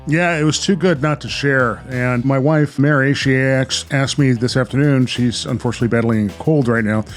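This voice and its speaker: A man's voice: mid-range voice, moderate pace, faint music behind. An American man hosting a podcast, chatting casually and narrating. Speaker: podcast host.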